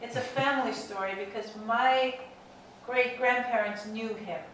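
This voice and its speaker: A woman in the audience telling a family anecdote in an animated, laughing way. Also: a little high, a little slow, recorded from a distance, a little hiss or hum. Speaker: audience member. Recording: echoey hall, voice from afar.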